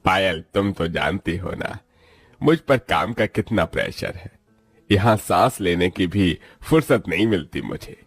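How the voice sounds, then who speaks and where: A man's voice: deep voice, lively intonation, measured pace, slightly rough, faint music behind, heavily acted. A man playing a busy, trusting rich young businessman in a moral story, earnest and dramatic. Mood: weary, surprised.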